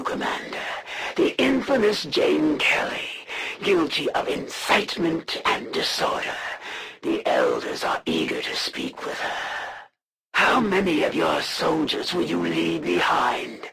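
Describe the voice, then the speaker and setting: A proud, menacing female alien assassin from a video game. Her voice is heavily creaky and swings widely in pitch as she delivers formal, theatrical taunts. She speaks slowly and deliberately, cold and full of wrath. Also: exaggerated voice. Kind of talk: video game villain voice lines.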